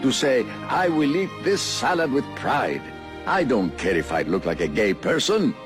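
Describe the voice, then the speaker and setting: Guy doing solemn cartoon hero speech, self-important, very expressive, music under it. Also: medium pitch, normal speed, close to an everyday voice. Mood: solemn, grand. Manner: pompous, earnest. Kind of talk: dramatic oratory in animated comedy.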